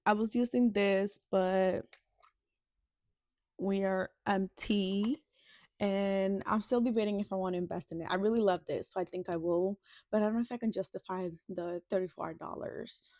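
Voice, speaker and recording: A woman's voice: medium pitch, fairly even intonation, a little slow, long pauses, a little mellow, no echo. A woman in her twenties from the Dominican Republic, chatting casually and ramblingly as a vlogger getting ready. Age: 20s. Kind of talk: casual get-ready-with-me chat.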